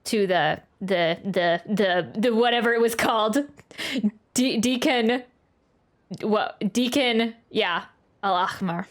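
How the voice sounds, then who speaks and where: A woman's voice: mid-range voice, slow. A woman streamer giving casual, thoughtful live commentary on a game, often searching for her words. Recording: game music behind the voice.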